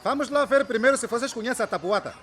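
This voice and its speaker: An actor playing an earnest, enthusiastic young trainee teacher, polite and insistent, in a radio drama. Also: male voice, extremely high pitch, fast talker, a crowd in the background, background noise. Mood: upbeat.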